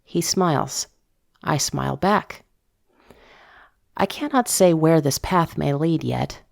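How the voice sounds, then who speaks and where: Woman narrator, deep voice w lots of fry, reflective diary style storytelling. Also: takes it slowly, a little mellow, dry recording, clean studio sound. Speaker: narrator of a fiction podcast story. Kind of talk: first-person dramatic scripted narration, diary entries.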